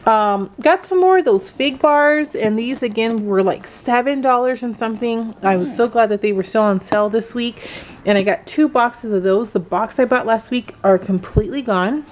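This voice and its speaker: A woman's voice: fairly high pitch, slight background noise. Chatty woman with lots of ums. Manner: chatty, relaxed, many "um"s, unhurried. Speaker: vlogger, mother of several kids. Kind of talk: casual grocery haul chat. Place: home kitchen, indoors.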